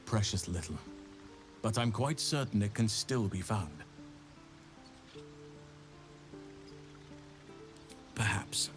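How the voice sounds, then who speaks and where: A courteous, weary druid man, played by an actor, scholarly and grave. His lowish voice is earnest and measured, the dialogue of a fantasy game, with music clearly playing behind him. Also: lightly acted. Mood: grave, resolute. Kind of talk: video game character dialogue. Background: loud-ish background music.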